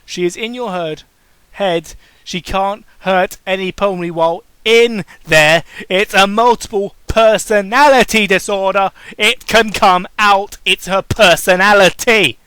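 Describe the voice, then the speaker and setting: A man acts out a devoted male lover from a fan story. The voice is high and bright, earnest and reassuring with a nervous edge. It is recorded dry. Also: unhurried, clean tone, dry recording. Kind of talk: dramatic reading of fan fiction.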